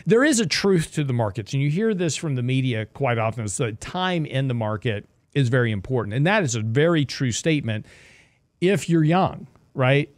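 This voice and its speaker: A man's voice: mid-range voice, lively intonation, moderate pace, no echo. A man in his sixties hosting a casual financial advice talk, chatty and jokey, repeating himself as he explains. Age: 60s. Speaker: show host, investment strategist.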